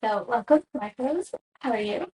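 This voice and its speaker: A woman's voice: on the high side, lively intonation, moderate pace, mellow. A woman hosting a podcast, asking questions in a curious, lightly chatty way. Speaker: podcast host. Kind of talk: casual interview chat.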